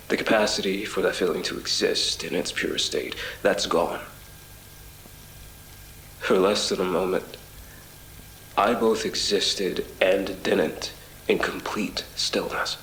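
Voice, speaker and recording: Audio drama, male actor, low-voiced and slow: a shaken, apologetic witness giving hushed, halting testimony of an ordeal. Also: a little hiss or hum, heavily acted. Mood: reflective. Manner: halting, hushed, breathy pauses, reflective. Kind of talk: intimate first-person audio drama monologue. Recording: roomy echo with music bed. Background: music.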